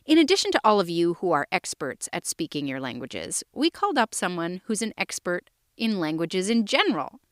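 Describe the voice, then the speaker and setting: A woman hosting a children's podcast, introducing guests and explaining ideas to young listeners in a friendly, lively way. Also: medium pitch, no room sound, clean studio sound. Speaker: podcast host. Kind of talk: scripted kids' podcast narration.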